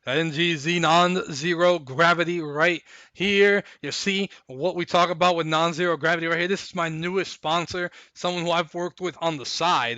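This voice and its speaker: A man hosting a live stream, chatting casually and recapping fights in a rambling, hyped way while his voice goes hoarse. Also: a little high, bright, forceful tone, no room sound. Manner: rambling, hyped, repeats himself. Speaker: stream host.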